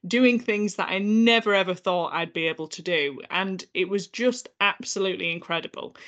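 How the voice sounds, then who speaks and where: A woman's voice: fairly low pitch, a bit bright. A woman in her thirties with a Yorkshire accent, a podcast guest telling her own story with some repeated words. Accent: Northern English, Yorkshire. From North Yorkshire, England. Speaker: guest, accessibility consultant. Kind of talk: conversational podcast interview.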